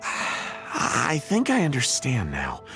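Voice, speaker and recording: A nervous engineer from a video game. He explains in a hesitant, apologetic stammer, his mid-pitched voice rising and dipping a lot. Music is prominent behind him. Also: wildly swooping pitch, takes it slowly, creaky, heavily acted. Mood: thoughtful. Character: nervous, apologetic engineer serving a demanding boss.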